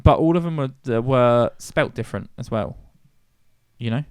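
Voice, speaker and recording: A man's voice: medium pitch, lively intonation, normal speed, darkish tone, clear, resonant tone, dry recording. A man co-hosting a music podcast in casual chat, laughing often and swearing casually. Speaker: podcast co-host.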